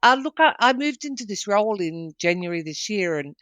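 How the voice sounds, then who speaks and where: A woman with an Australian accent explains things confidently, in a crisp, businesslike way. Her voice sits at a middle pitch for a woman, and the recording is dry and close. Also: expressive, fairly quick, fairly bright tone, no echo. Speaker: guest, hospitality executive. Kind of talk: interview answers.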